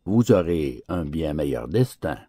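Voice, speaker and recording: A man's voice: very deep voice, sing-song, unhurried, warm tone, breathy edge, dry recording, studio quality, voice pushed down, exaggerated voice. A man voicing a big, well-fed storybook mastiff, complacent and persuasive, servile to his masters. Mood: smug, coaxing.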